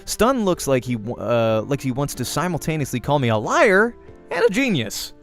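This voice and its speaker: A man reading a visual novel aloud as a let's play host, in an expressive storytelling manner. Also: mid-range voice, moderate pace, soft background music. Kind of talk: narrating a visual novel aloud, acting dialogue.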